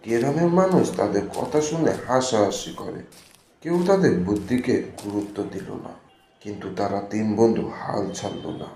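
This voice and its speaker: A man's voice: fairly low pitch, expressive, normal speed, warm tone, echoey room, slight background noise. A man narrating a scripted cartoon story.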